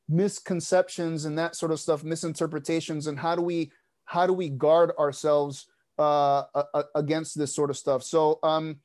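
A man's voice: fairly high pitch, a little mellow. A man hosting a panel webinar over a video call, thinking aloud with fillers and restarts. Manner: thoughtful, many fillers and restarts. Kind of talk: casual panel moderation. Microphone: wired earbuds on a video call.

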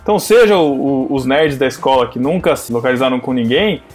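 A man co-hosting a casual podcast chat, animated and rambling, often restarting his sentences. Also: mid-range voice, a little fast, soft background music. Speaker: podcast co-host.

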